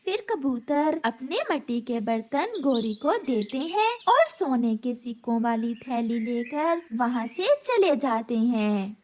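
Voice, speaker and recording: Female cartoon narrator: high voice, slow and lively storytelling, faint noise behind.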